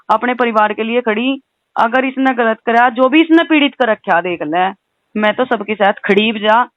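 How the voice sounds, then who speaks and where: A woman's voice: on the high side, no echo. A woman with a western Uttar Pradesh accent talks on a recorded phone call in an insistent, aggrieved way, often adding questioning tags. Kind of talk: heated phone conversation.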